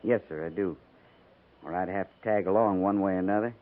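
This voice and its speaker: An actor playing a loyal, dryly wry frontier army sergeant with folksy speech in a 1950s radio western. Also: male voice, low-pitched, fairly quick, natural voice. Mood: concerned, loyal. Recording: narrow-band, muffled 1950s radio recording.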